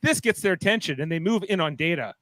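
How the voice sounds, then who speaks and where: A man's voice: a little high, expressive, fast talker, a bit bright, a little hiss or hum. A man hosting and narrating a live amateur radio play in an informal, playful way. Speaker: host and narrator.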